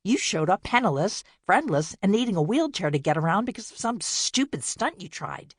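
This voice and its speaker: A woman's voice: mid-range voice, sing-song, brisk, breathy edge, no room sound, clean studio sound, voice pushed up. A woman voicing a proud, bitter older sister who talks defiantly and mockingly. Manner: defiant, mocking, sharp-tongued.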